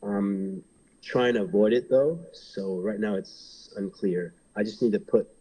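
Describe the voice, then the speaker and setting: A man's voice: lowish voice, a little slow, mellow, slightly rough, low-quality recording. A man in his thirties from Hong Kong, a pro wrestler, talking casually in an interview and rambling with many fillers and restarts.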